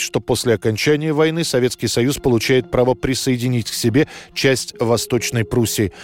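A man narrating a scripted history programme in a measured, storytelling way. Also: mid-range voice.

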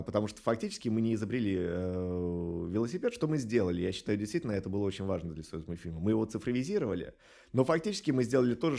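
A man speaking as a studio director in an interview, explaining things in a measured, articulate way with rhetorical emphasis. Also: on the low side, creaky, dark tone.

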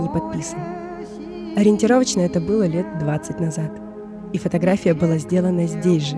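A woman narrating a scripted personal audio essay in a reflective, contemplative way. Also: on the low side. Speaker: podcast narrator.

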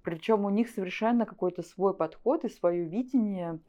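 A woman's voice: medium pitch, normal speed, dark tone, highs cut off. A woman giving her opinions conversationally as a director invited to an interview. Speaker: animation studio guest, director.

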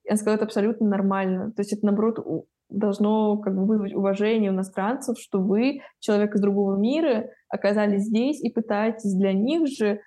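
A woman's voice: mid-range voice, steady tone, brisk, hardly any pauses, warm tone. A woman in her twenties explaining her views thoughtfully in a casual podcast conversation.

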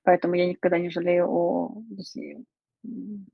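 A woman speaking as a streamer guest in a relaxed interview, chatty, laughing often and filling pauses with hesitations. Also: on the low side, vocal fry, no room sound, lo-fi sound, faint music behind. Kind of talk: casual interview chat.

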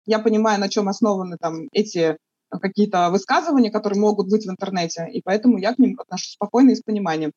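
A woman from Russia answering interview questions in a thoughtful, halting way, with many fillers and restarts. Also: mid-range voice, dark tone, breathy edge, dry recording, muffled recording.

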